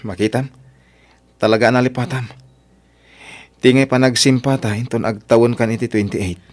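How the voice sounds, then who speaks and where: A man's voice: medium pitch, fairly even intonation, moderate pace, close to an everyday voice. Male actor as earnest young working man, Ilocano accent, reserved, mid-range voice, radio drama delivery. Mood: doubtful, reproachful.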